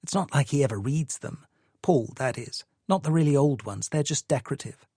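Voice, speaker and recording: A man's voice: mid-range voice, a little fast, mellow, husky, dry recording, pitched above the performer's own voice. A cool, evasive woman speaks in a lifted, clipped voice, dismissive and cutting. A man reads her lines in an audiobook. The recording is dry and close. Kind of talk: audiobook character reading.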